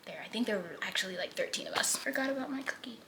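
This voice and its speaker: Bright-voiced chatty eighteen-year-old woman. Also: medium pitch, fast talker, creaky, slight background noise. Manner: chatty, many "like" fillers, drifts between lively and hushed. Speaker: vlogger. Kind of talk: casual chat.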